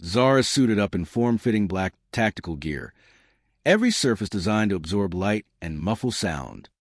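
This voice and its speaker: Low-voiced man narrating, very animated pitch. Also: moderate pace, no room sound, studio quality. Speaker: audiobook-style story narrator. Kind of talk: scripted narration of a sci-fi adventure.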